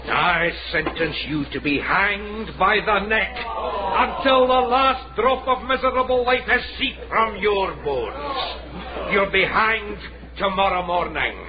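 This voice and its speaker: Nasty pompous scottish judge, slow and scornful, muffled old radio drama sound. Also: male voice, high-pitched. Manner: scornful, theatrical, deliberate. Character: pompous, venomous judge passing a death sentence. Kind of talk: period radio drama acting.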